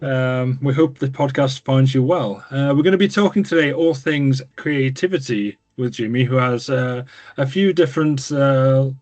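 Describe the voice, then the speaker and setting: A man's voice: mid-range voice, moderate pace, a little mellow. A man co-hosting a podcast, talking casually and hesitantly with many fillers. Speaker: podcast co-host. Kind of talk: casual conversation.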